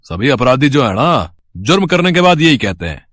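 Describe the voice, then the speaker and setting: Man putting on a gruff, bossy policeman. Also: mid-range voice, sing-song, moderate pace, a bit bright, no echo, studio quality, voice pushed down. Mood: bossy, scornful. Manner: bossy, sneering. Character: gruff, scornful village policeman. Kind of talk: acted storybook dialogue.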